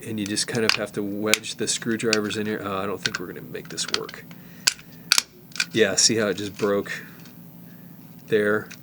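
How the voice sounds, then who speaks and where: Low-voiced man walking through a repair. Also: moderate pace, pauses often, very noisy. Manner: relaxed, thinking aloud with restarts while working. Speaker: tape repair technician. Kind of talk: hands-on repair demonstration.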